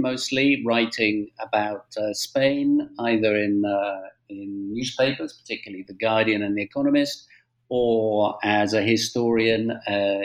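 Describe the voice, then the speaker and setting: British male historian, middle-aged, conversational interview guest, measured and hesitant delivery. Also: lowish voice, low-quality recording. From Britain. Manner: halting, measured, frequent hesitations. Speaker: guest author, historian and journalist.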